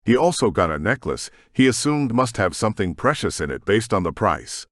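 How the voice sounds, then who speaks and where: A man narrating his own audiobook story and author's note in a measured, expressive way. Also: lowish voice, no echo, clean studio sound.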